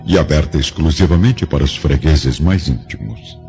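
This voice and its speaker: A man's voice: extremely low pitch, expressive, moderate pace. A man narrating a scripted radio drama in a solemn, grave and formal style. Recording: dull lo-fi studio drama, music behind.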